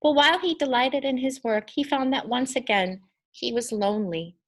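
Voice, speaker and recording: A woman reading a children's story aloud in an expressive, deliberate and emphatic storytelling style. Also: high voice. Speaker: storybook reader.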